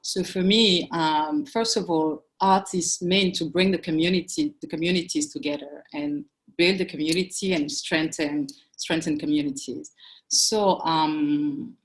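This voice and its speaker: A woman's voice: deep voice, fairly even intonation, a little slow, rough recording. A woman from Cameroon, speaking thoughtfully as a curator on a video-call panel and often restarting her words.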